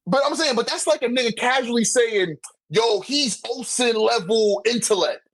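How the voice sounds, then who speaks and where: Male, very high-set voice, casual argumentative talker, heavy slang, emphatic delivery. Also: moderate pace, a bit bright. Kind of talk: casual debate about manga.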